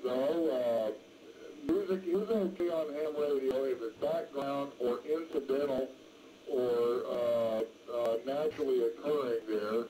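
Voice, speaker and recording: Older man, mellow voice over noisy radio. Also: mid-range voice. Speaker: amateur radio operator. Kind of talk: casual ham radio chat. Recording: noisy amateur radio transmission.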